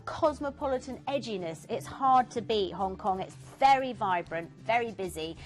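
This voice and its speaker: A woman presenting cruise deals on a TV shopping channel, chatty and enthusiastic, talking through destinations with fillers. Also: on the high side. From England. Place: TV studio.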